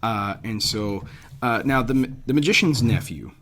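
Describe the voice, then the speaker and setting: A man's voice: mid-range voice, lively intonation, unhurried, a bit bright, a little hiss or hum. A man hosting a podcast, talking in an informal, conversational way. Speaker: podcast host.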